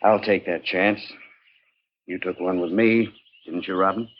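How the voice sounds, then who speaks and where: An actor playing a scheming spy who poses as a loyal outlaw in an old radio drama, measured and persuasive. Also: male voice, deep voice, fairly even intonation. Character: soft-spoken, scheming male spy posing as loyal outlaw. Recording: dull, faintly noisy old radio recording.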